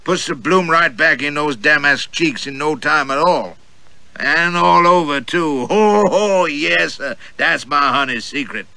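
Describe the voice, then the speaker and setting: A man voicing a loud, boorish, portly older gentleman who drawls, boasts and guffaws. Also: fairly high pitch, unhurried, gravelly, dry recording, pitched above the performer's own voice, exaggerated voice. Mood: blustering.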